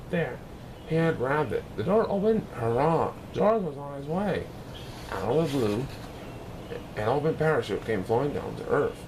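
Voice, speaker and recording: A man reading a children's picture book aloud in an expressive, dramatic way, occasionally stumbling over words. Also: a little high, noisy background. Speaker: storybook reader, show host.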